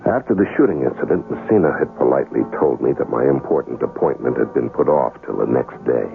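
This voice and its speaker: A man's voice: rumbling low, lively intonation, fast, creaky, exaggerated voice. An actor playing a wary, wry American gunman in a 1970s radio drama, with a blunt and guarded manner. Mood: brooding. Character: wary, wry American amnesiac gunman telling his story. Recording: muffled vintage radio, music underneath.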